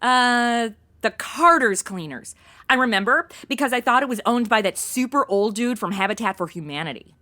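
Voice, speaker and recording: Ditzy modern gal, audio comedy: high, bright, very animated, blunt and slangy. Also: female voice, normal speed. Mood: sassy, clueless. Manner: blunt, slangy, valley-girl phrasing. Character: brash, ditzy modern young woman stranded in 1940s mysteries. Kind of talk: comedic audio drama acting.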